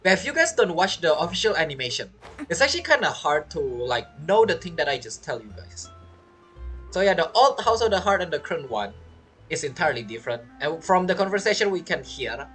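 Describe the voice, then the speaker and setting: A man's voice: a little high, sing-song, a little fast, a bit bright, soft background music. A man streaming a game playthrough with casual commentary, musing aloud and making joking asides.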